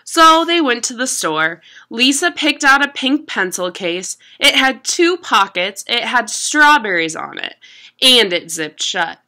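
A young adult woman reading a children's picture book aloud at home in an expressive, deliberate storytelling manner. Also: fairly high pitch. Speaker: storybook reader. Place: small room at home.

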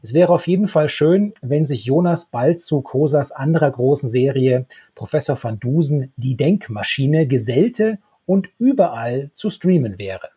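A man in his thirties co-hosting a podcast, discussing a radio drama in a conversational review style, with a husky voice. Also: mid-range voice, lively intonation, unhurried, hardly any pauses, mellow, dull audio. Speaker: podcast co-host. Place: home room with bookshelves. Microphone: desktop microphone, close.

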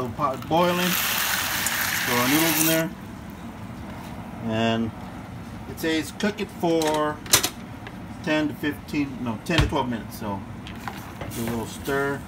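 Casting: relaxed middle-aged guy, home cooking vlogger, chatty, phone-recorded in a kitchen with frying noise. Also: mid-range voice. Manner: laid-back, chatty, talks to viewers as "guys". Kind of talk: casual cooking vlog. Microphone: phone camera held while cooking. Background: frying sizzle and kitchen noise.

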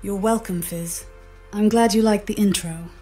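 A woman's voice: medium pitch, fairly even intonation, normal speed, dark tone. A synthetic woman's voice speaking as an AI mission companion, in a polite, formal, scripted assistant style. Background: background music.

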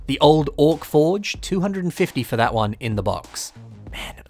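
A young man, talking casually over a shopping vlog and weighing up toy prices. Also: medium pitch. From Australia. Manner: chatty, opinionated about prices. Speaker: toy collector vlogger.

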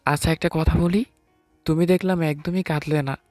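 A man's voice: on the high side, steady tone, moderate pace, a little mellow, dry recording, natural voice. Man playing nervous newlywed husband, sheepish, hesitant, story narration, dry studio sound, music behind. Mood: gentle, unsure. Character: timid, nervous newlywed husband, late twenties. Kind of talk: first-person story narration with acted dialogue.

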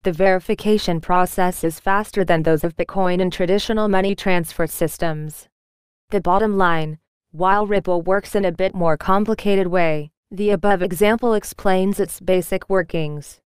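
A woman narrating an article in a steady, even reading voice. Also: lowish voice, normal speed, clean tone, no room sound. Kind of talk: read-aloud article narration.

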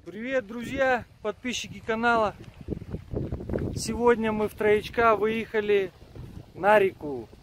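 A man's voice: sits high, steady tone, a little slow, long pauses, fairly bright tone, slight background noise, low-quality recording. A man from Kazakhstan filming a casual fishing vlog, joking and swearing freely as he talks. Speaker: fishing vlogger. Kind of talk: casual chat while filming.

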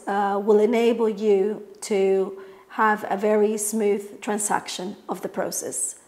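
Middle-aged Colombian woman explaining her business. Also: medium pitch. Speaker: real estate agent, family business owner. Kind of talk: promotional company talk to camera. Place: living room, on a sofa indoors.

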